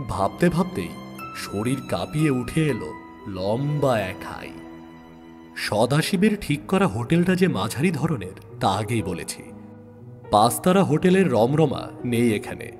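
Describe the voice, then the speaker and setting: A man tells a suspense story in a dramatic, measured narrator's style. His mid-pitched voice rises and falls with lively expression, and he leaves deliberate pauses between phrases. Music plays clearly behind him. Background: background music.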